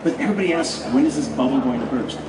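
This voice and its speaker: A man's voice: medium pitch, expressive, very fast, a loud crowd. An American man moderating a live onstage interview, putting questions to a guest and addressing the audience. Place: public festival event before an audience. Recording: roomy, distant mic, loud noisy ambience.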